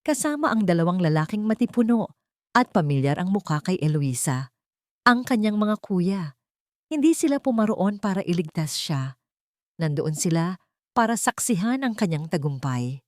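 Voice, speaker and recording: A woman with a Filipino accent narrates a dramatic romance story from a script. Her voice sits low, and the sound is close and dry. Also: sing-song, moderate pace, no echo.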